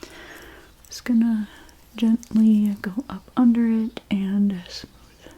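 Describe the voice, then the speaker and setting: A woman speaks in a very breathy whisper, as in an ASMR salon role play. Her delivery is slow and gentle, with a soft, mellow tone. She explains each small step as if attending to one client. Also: fairly high pitch, little pitch movement. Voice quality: breathy, mostly unvoiced whisper. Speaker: ASMR creator playing a hairstylist.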